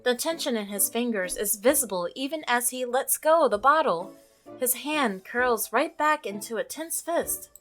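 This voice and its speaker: A woman's voice: on the high side, lively intonation, moderate pace, fairly bright tone, soft background music. A woman playing a visual novel, reading it aloud and reacting expressively, exclaiming and laughing at the story. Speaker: let's play gamer.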